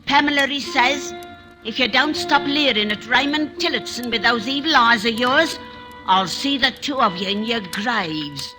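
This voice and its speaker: A woman's voice: on the high side, lively intonation, normal speed, over loud music, natural voice. An actress playing a woman witness giving earnest testimony in a 1940s radio drama.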